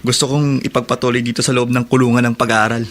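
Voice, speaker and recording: A man's voice: mid-range voice, level tone, fast, a bit bright, close to an everyday voice. Man playing earnest, hopeful young male prisoner. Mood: hopeful, eager. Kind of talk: Tagalog radio drama acting.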